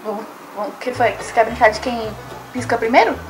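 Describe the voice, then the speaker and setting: A woman's voice: a little high, quick pace, faint music behind, rough recording. A young woman in her twenties with a Brazilian Portuguese accent, chatting with strangers on a webcam with teasing, sarcastic banter from her home kitchen. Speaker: YouTuber. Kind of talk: casual webcam chat with strangers. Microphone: laptop webcam mic.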